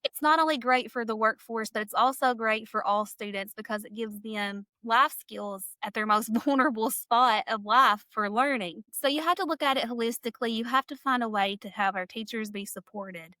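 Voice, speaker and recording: Emphatic woman, high and dry. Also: sharp tone, no echo. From Kentucky. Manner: emphatic and upbeat, quick bursts, laughs often. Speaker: state senate candidate. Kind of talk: energetic campaign interview.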